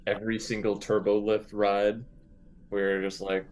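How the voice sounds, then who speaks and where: A man's voice: on the low side, level tone, measured pace, darkish tone. A man playing a tabletop game, bantering casually in a wry, joking way.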